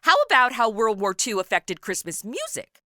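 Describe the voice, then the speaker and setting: A woman's voice: fairly high pitch, big pitch swings, moderate pace, pushed and bright, no room sound, studio quality. A woman hosting a podcast interview in a casual, playful, quippy conversational way. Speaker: podcast host.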